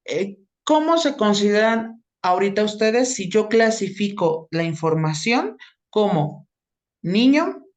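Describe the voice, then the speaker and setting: A woman's voice: mid-range voice, very animated, slow. Woman teaching, checking in often. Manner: explains step by step, checks in with tag questions. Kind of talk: online class lecture.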